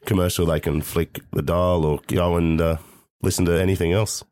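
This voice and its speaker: A man's voice: deep voice, little pitch movement, moderate pace, darkish tone, dry recording. A man in his thirties from Melbourne with an Australian accent, a radio host chatting casually in a studio, laid-back and laughing often, a little sick with a cold. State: has a cold. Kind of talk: casual chat. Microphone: studio mic.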